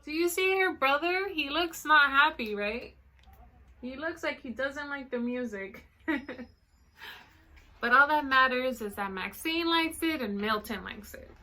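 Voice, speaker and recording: A woman reads a story to young children as a librarian would. Her voice is high and bright, and she goes slowly. She is animated and stops to explain things simply and check in with her listeners. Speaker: storytime librarian reading a picture book to children. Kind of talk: expressive read-aloud with explanatory asides for children.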